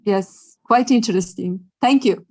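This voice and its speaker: A woman's voice: mid-range voice, measured pace, a little mellow. A woman making spontaneous remarks as a participant in a group discussion. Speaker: session participant.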